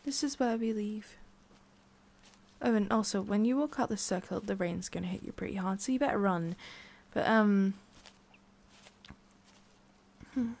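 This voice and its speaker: A woman voicing a haughty, prickly witch with a hidden soft side in an ASMR roleplay, soft and often whispery with a creaky edge. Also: medium pitch, normal speed, natural voice. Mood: aloof, curious. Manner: soft, often whispery, breathy sighs, curt asides.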